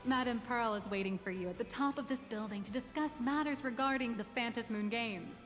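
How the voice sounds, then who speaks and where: A woman's voice: fairly high pitch, brisk, a bit bright, soft background music, natural voice. A woman voicing a polished corporate tour guide in a video game, formal and guarded. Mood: composed. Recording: game audio with long reverb.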